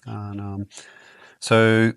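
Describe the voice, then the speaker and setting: A man from South Africa whose first language is Afrikaans, telling childhood stories in a relaxed, reflective way as a podcast guest, with frequent ums and restarts. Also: on the low side, extremely slow, gravelly, smooth, no room sound. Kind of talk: casual interview storytelling.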